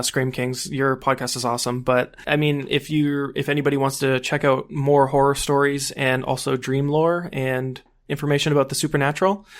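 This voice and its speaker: A man's voice: medium pitch, a bit bright. A man chatting casually as a podcast guest, in a rambling, anecdotal way. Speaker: podcast guest who hosts his own podcast. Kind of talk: casual chat.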